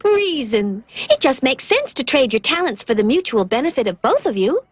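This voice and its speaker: A woman's voice: sits high, big pitch swings, moderate pace, slightly rough, no room sound, heavily acted. A woman playing a cheerful, whimsical magical schoolteacher in a cartoon, theatrical and encouraging. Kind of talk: 1970s cartoon voice acting. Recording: music behind the voice.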